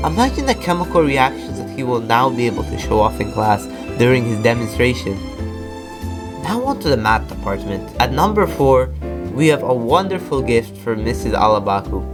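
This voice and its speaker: A teenage man reading scripted narration as the presenter of a high school show. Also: sing-song, moderate pace. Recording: music playing under the voice.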